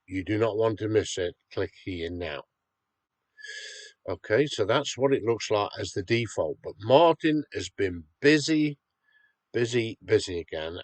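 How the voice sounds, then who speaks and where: Slow, low-voiced man with a cold. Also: dry recording. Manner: patient, step-by-step, checks in with "okay". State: has a cold, slightly nasal. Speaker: website owner demonstrating a tool. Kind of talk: screen-recorded software tutorial.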